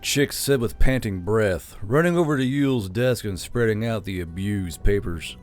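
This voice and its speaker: A man narrating a fantasy novel in a steady storytelling manner, with dry, flat touches. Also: fairly low pitch, moderate pace. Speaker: audiobook narrator.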